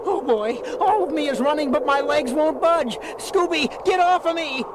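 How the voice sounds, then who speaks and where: A man's voice: very high-pitched, normal speed, noisy background. A man voicing a cowardly, hungry, goofy young slacker, nervous and wisecracking, in a children's cartoon audio drama. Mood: whiny. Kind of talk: 1970s children's record cartoon acting.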